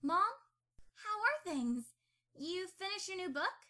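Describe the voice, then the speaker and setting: Girl acting an earnest young pony daughter, really high bright voice, audio drama. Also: sing-song, slow, exaggerated voice. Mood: bright, happy.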